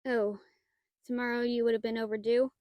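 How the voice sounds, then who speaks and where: A woman playing a shy, nerdy young half-dragon librarian in an audio roleplay, flustered and halting, bookish and given to theatrical indignation. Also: on the high side, flat delivery, darkish tone, no echo, natural voice. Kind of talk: audio roleplay acting, addressed to the listener.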